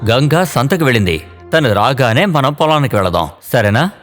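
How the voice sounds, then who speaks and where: A man voicing a caring village farmer and father in an animated story, in his own voice. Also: medium pitch, normal speed, close to an everyday voice. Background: faint background music.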